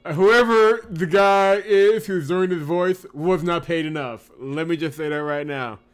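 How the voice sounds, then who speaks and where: Male let's player, slightly high pitch, wry and exclaiming, commenting over game music. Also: unhurried, clear, resonant tone. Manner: sarcastic, joking, exclaiming. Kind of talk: playthrough commentary and narration reading.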